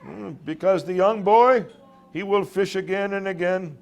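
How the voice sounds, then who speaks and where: A man reading the part of a wise old Cuban fisherman in a storybook tale, in emphatic short phrases with weighty pauses. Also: high voice, vocal fry, soft background music, pitched above the performer's own voice. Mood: wistful. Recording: live room recording with background noise.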